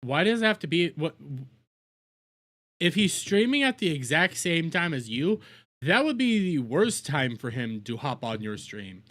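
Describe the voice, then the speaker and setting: A man's voice: a little high, very animated, unhurried, fairly bright tone. A man doing casual live-stream commentary, rambling, repeating himself and swearing freely. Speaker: streamer reacting to videos.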